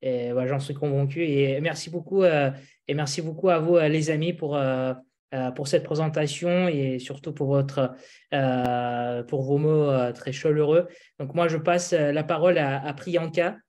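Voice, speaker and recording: A man's voice: on the high side, fairly even intonation, measured pace, darkish tone. A man hosting an online meeting informally, polite and stop-and-start in his delivery. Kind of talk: informal moderating.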